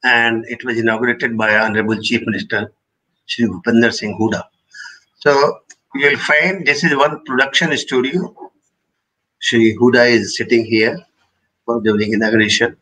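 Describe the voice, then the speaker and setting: Older male expert, Indian accent, mid-pitched slightly husky voice, formal and measured presentation delivery. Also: steady tone, bright, forceful tone, low-quality recording. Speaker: radio engineering expert giving a presentation. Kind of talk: explanatory lecture with personal recollection.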